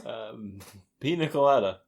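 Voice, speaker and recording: A man's voice: mid-range voice, animated, measured pace, a little mellow. A man co-hosting a board game podcast, chatting casually with friends. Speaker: podcast co-host.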